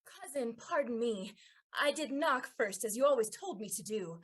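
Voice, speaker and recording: An eager young country girl played by a woman in a stage period comedy. She speaks deferentially in a husky, fairly high voice. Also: lively intonation, normal speed. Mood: apologetic, eager.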